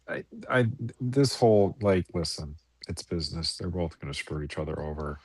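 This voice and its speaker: Animated joking man on a podcast. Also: deep voice, normal speed, slightly rough, no room sound. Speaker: podcast co-host. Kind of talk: casual chat.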